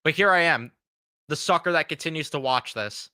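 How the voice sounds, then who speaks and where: Blunt, bright-voiced young man ranting. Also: a little high, normal speed, dry recording. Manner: blunt, frustrated, swears freely. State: fighting a cold. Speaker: sports fan show host. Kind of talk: casual reaction commentary.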